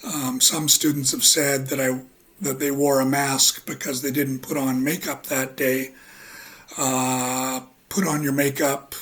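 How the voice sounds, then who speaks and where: A man with a husky voice giving a relaxed spoken lecture as an English teacher, halting often with ums and uhs. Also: medium pitch.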